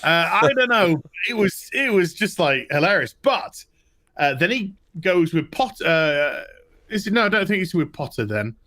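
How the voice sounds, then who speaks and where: A man's voice: high-pitched, very animated, normal speed, pushed and bright. A British man co-hosting a TV review show, telling things in a casual, rambling way. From United Kingdom. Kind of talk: casual recap chat.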